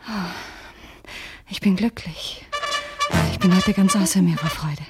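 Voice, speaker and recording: A coquettish society lady played by a woman, rather low in pitch and full of exclamations, chatting as if on a dance floor. Music plays clearly behind her in this old radio scene. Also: unhurried, natural voice. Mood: gushing, flirtatious. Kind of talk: radio play dialogue.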